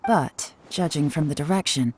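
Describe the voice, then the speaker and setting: An actress playing a shrewd, composed woman investigator in a video game, measured and sly, with a knowing air. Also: low-pitched, darkish tone, breathy edge. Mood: knowing, suspicious.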